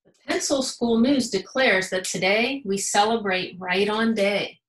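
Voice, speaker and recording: An American woman, a school principal, reading a children's story aloud in an animated, emphatic way. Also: medium pitch, unhurried. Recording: roomy, echoing sound.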